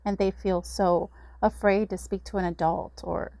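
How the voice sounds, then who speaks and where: A woman's voice: medium pitch, steady tone, moderate pace, dark tone, no echo. A woman who is a community services director, explaining steadily in an interview with the occasional filler. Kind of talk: informational interview.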